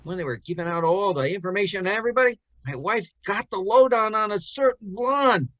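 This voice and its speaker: A man's voice: high voice, animated, a little fast, a bit bright, dry recording, dull audio. A man playing a glum, sardonic co-worker at his wits' end in an old-time radio drama, gloomy and wisecracking.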